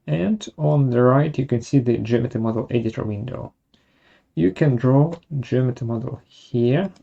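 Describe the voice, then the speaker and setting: Software tutorial presenter, unhurried and halting, gravelly mid-pitched voice with a dark tone. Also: dull audio. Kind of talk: step-by-step software tutorial narration.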